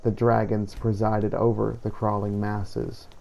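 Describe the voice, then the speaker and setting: A man narrates a fantasy game journal in a solemn, measured way. His voice is mellow and stays very even, delivered slowly, with faint noise behind it. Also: lowish voice, vocal fry, low-quality recording. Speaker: player narrating a role-play playthrough. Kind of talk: scripted in-character journal narration.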